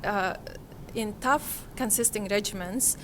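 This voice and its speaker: A woman's voice: medium pitch, a little slow, a bit bright, a little hiss or hum. A woman from Kazakhstan, giving reflective interview answers in a hesitant way, often searching for words. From Kazakhstan, Central Asia. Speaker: interviewee, conference participant.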